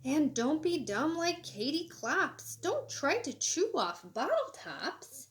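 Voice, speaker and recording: A woman reading a children's picture book aloud in an expressive, sing-song way, with short asides to young listeners. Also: high voice. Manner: expressive, sing-song, deliberate storybook delivery. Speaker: elementary school educator reading aloud.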